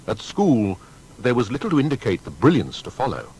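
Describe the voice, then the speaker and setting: A man narrating a scripted biography in a measured, formal and dramatic way. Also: wildly swooping pitch, darkish tone, rough recording. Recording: faint background rumble and noise.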